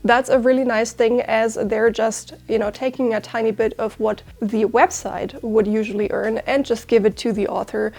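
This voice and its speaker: A woman's voice: fairly high pitch, fairly even intonation, moderate pace, vocal fry, hardly any pauses, a little mellow. A young woman whose first language is German, talking through a sewing tutorial in an upbeat, chatty, explanatory way. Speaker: sewing teacher.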